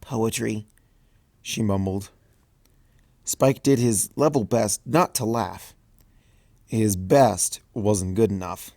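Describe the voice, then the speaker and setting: A man reading a story aloud, narrating in an expressive, animated way. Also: fairly low pitch, slow, pauses often, no echo, studio quality. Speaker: fanfic reader.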